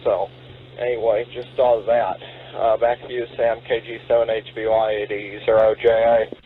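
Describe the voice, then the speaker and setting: A man's voice: on the low side, little pitch movement, normal speed. A man making a casual, rambling, hesitant check-in as an amateur radio operator over a radio link. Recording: phone-like radio link with faint hum.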